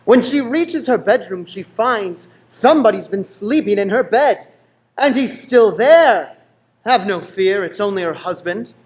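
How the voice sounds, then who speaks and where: A man's voice: high voice, animated, a little slow, poor audio. A man narrating a radio play in a wry, suspenseful storytelling manner.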